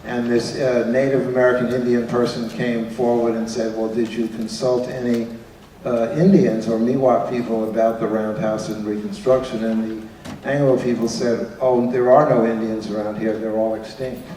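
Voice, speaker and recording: A man interviewing an author on stage before an audience, speaking haltingly with many restarts. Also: mid-range voice, little pitch movement, mellow, roomy sound, a little hiss or hum, poor audio. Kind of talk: onstage interview. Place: event hall with an audience.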